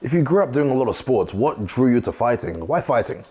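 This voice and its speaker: A man's voice: medium pitch, big pitch swings, a little fast, dark tone, slightly rough. A man hosting an interview, joking and hyping his guest with boastful banter. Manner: jokey and hyped, boastful banter. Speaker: interviewer, host. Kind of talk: casual interview.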